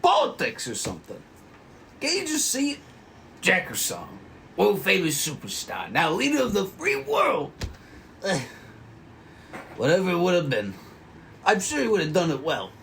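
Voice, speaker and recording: A man's voice: high voice, huge pitch swings, moderate pace, creaky, long pauses, a bit bright, heavily acted. A man playing a lazy, gossiping police officer in an amateur script read, with faint noise. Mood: wistful admiring. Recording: noisy room recording.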